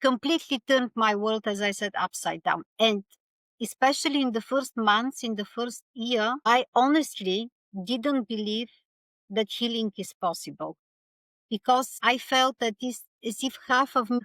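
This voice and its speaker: A woman from Bulgaria with a Bulgarian accent, a guest speaking earnestly and haltingly in an interview, with many pauses and restarts. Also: mid-range voice, a bit bright, no room sound, rough recording. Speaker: podcast guest. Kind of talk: reflective interview conversation.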